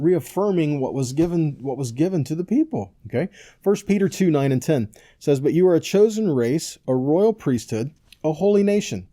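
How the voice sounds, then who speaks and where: A man's voice: on the high side, dark tone. A man giving an informal, earnest sermon, reading scripture and urging listeners on with short check-in questions. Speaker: preacher, Bible teacher.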